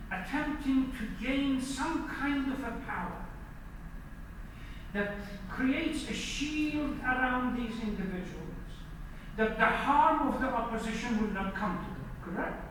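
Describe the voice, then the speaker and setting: High-pitched male preacher in an echoey hall. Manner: didactic, questions the audience directly. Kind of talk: sermon lecture to a congregation. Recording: echoey hall, distant mic, faint noise.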